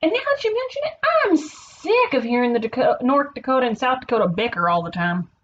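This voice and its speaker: Woman putting on an excitable high-pitched storybook pal. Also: moderate pace, highs cut off, exaggerated voice. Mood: thrilled. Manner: excitable, shrieking, gushing. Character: very kind, excitable best-friend US state. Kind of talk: children's storybook character voice.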